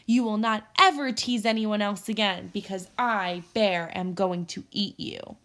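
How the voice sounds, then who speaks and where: A woman's voice: mid-range voice, fairly even intonation, fairly bright tone. Woman putting on a grumpy, menacing big bear. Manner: growling, deliberate, threatening. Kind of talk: storybook character voice.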